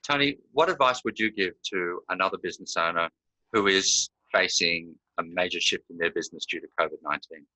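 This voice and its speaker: A man's voice: deep voice, brisk, pushed and bright, rough recording. A man hosting an interview, asking formal questions and opening each one with the guest's name. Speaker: interview host.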